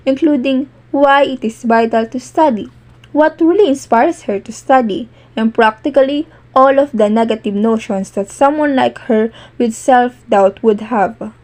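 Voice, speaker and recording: A woman's voice: on the high side, a little slow, darkish tone. A woman giving scripted narration for an amateur cartoon story.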